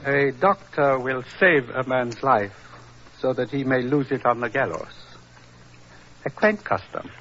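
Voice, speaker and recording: A solemn, aged prince, speaks in measured, courtly phrases at a medium pitch. The vintage radio recording is muffled, with faint hiss. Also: male voice, sharp tone. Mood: wry. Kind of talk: 1940s radio drama acting.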